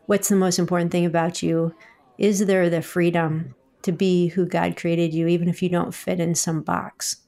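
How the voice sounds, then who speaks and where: Gravelly, flat-toned woman host. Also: fairly low pitch. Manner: measured and reflective. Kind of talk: faith-based interview conversation.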